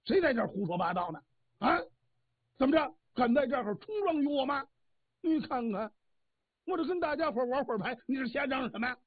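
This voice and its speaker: A man voicing a boastful, quick-tempered old orchard god who barks orders. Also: sits high, sing-song, breathy edge, no echo, exaggerated voice. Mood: angry. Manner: swaggering, barking orders. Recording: tinny phone-like dry sound.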